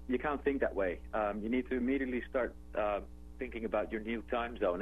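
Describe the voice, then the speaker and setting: A man with a Dutch accent talks easily over a tinny telephone line, with a faint hum beneath his voice. His pitch sits at an ordinary middle level, and his speech wanders with fillers and restarts as he gives advice as a radio guest. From Netherlands. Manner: relaxed, conversational, with filler words and restarts. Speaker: guest, travel company executive. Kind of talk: phone interview on radio.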